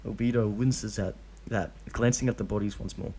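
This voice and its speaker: A man's voice: on the low side, steady tone, normal speed, warm tone, a little hiss or hum. Young man reading fanfic, stumbling on names. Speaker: fanfic reader.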